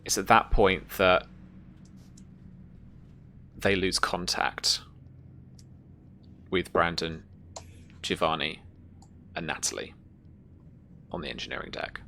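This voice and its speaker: A woman narrating a tabletop sci-fi horror game as game master, halting as if searching through notes. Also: rumbling low, sharp tone, a little hiss or hum.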